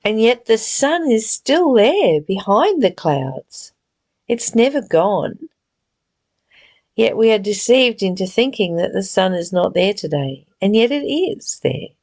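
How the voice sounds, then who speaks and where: A woman giving a reflective spiritual reading and teaching in an earnest, deliberate, gently exhorting way. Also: medium pitch, very animated. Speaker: reader and spiritual study teacher. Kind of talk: read-aloud spiritual text with reflective, informal commentary.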